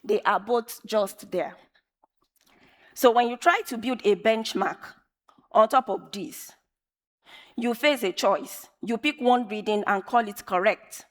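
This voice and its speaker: Woman speaker, Yoruba first language, higher voice, measured emphatic delivery, long hall reverb. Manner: measured, emphatic, repeats phrases for effect. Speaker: conference speaker. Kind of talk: technical conference talk. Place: large conference hall.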